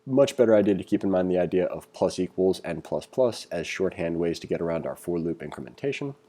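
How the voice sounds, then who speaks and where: A man's voice: low voice, expressive, fairly quick, warm tone. A man giving a casual screencast tutorial, reading along and thinking aloud in an informal, conversational way as an instructor.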